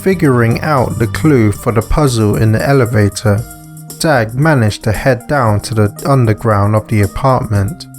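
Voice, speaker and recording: A man's voice: medium pitch, normal speed, faint music behind. A man reading scripted narration for a visual novel as its narrator. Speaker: narrator and author.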